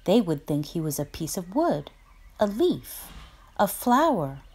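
A woman reading a children's storybook aloud with dramatic, deliberate emphasis. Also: on the low side, big pitch swings, warm tone, husky. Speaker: teacher reading a picture book aloud. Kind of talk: expressive children's storybook narration.

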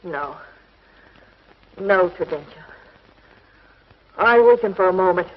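Low-toned, defiant captive heroine. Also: female voice, animated, slow pace. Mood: weakened, defiant. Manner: earnest, melodramatic, resolute. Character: brave captive heroine defying her tormentor. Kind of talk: 1950s television serial acting. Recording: dull, lo-fi 1950s television soundtrack.

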